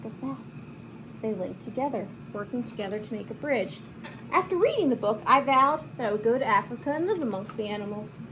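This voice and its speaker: A woman reading a picture book aloud to children in an expressive, animated manner, with chatty asides and simple explanations. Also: on the high side, a little fast, background noise. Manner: animated, acts out lines and explains words to children. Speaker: children's read-aloud host.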